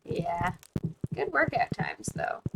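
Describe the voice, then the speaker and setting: A woman's voice: fairly low pitch, wildly swooping pitch, slow pace, gravelly. Streamer, woman, from the US, casual talky delivery full of fillers, noisy home mic. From United States. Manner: chatty, thinks aloud with fillers. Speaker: streamer and host. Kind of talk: casual chat while playing a game. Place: home, living abroad.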